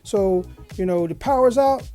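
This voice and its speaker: A woman's voice: medium pitch, moderate pace, mellow, faint music behind. A woman giving a casual product review as a video host, in a folksy, slangy way with repeated phrases. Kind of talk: casual unboxing review chat. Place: small home office studio.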